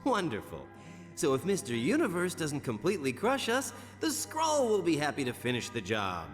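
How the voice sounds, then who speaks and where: A man's voice: fairly high pitch, wildly swooping pitch, normal speed, faint music behind. An actor playing a wisecracking young male hero, flippant and sarcastic, in a video game. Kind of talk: video game cutscene voice acting. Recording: long reverberant hall.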